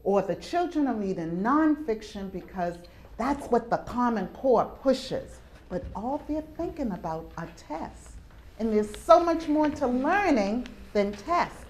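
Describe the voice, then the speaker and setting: A woman's voice: on the high side, animated, a little slow, a little mellow. Emphatic woman hosting, teacherly. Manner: teacherly, coaxing with questions and repeats. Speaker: TV show host leading students. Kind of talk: talk to children before an audience. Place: college venue, taped live with audience.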